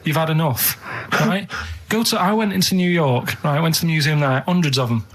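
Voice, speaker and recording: A man's voice: a little high, a little fast, slight background noise. A man co-hosting a radio show, chatting casually in a rambling, earnest, matter-of-fact way.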